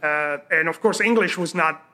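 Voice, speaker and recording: A man's voice: on the high side, moderate pace, pushed and bright. A man in his sixties from Romania, with Romanian as his first language, telling his life story in a casual, rambling interview full of fillers and restarts. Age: 60s. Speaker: guest.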